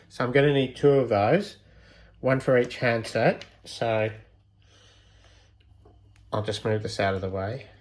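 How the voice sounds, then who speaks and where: A man presenting a homemade electronics project in an informal, unscripted way, hesitantly thinking aloud as he goes. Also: medium pitch. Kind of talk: casual unscripted project walkthrough.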